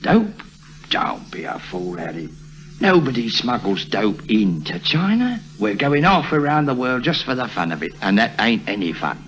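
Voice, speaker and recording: An actor playing a shifty, grumbling ex-smuggler sailor in an old radio drama, excitable and insistent. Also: male voice, medium pitch, normal speed. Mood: scornful, grumbling. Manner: excitable, insistent, repeats himself. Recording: vintage radio recording with music underneath.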